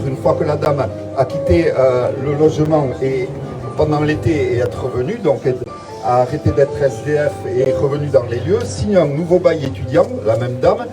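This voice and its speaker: Guy doing a fake-serious art tour outside, keeps stalling with um, music playing behind. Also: a little high. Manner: mock-solemn, hesitant with many fillers. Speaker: mock exhibition guide. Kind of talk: tongue-in-cheek guided tour. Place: outdoors in front of a museum.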